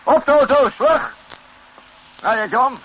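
An actor playing a brash, ruthless mercenary captain in a radio drama, blunt and commanding. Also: male voice, very high-pitched, measured pace. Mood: barking, urgent. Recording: tinny band-limited old radio recording, noisy.

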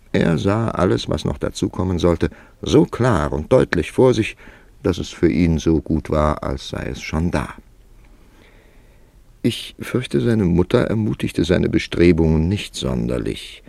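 A wry, worldly man of letters narrates a literary radio play. His deep voice is creaky and moves widely in pitch, with measured, dramatic phrasing. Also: a little mellow, no room sound, full character voice. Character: older established male writer, wry, worldly narrator of his tale. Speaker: narrator and lead character.

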